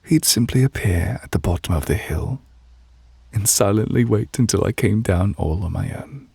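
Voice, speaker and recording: A man playing a gentle, refined, possessive witch in love, speaking breathy and hushed in an intimate ASMR romance roleplay. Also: on the low side, no echo, clean studio sound, exaggerated voice. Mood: wistful, tender. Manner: gentle, refined, wistful, formal phrasing. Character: soft-spoken, tender, possessive male witch in love.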